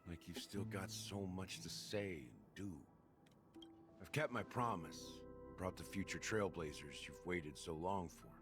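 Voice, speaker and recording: Game role: world-weary older man, low and measured, expressive swings, solemn with a wry edge. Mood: wistful. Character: weary, wry older man guarding an old friend's legacy. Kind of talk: video game story voice acting. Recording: music behind the dialogue.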